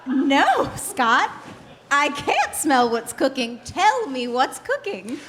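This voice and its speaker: A woman co-hosting a mock old-time radio ad, speaking in an exaggerated, deliberate way. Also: high-pitched, sing-song, big-room sound, a crowd in the background. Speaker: co-host reading mock sponsor spot. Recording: live theater stage, faint noise.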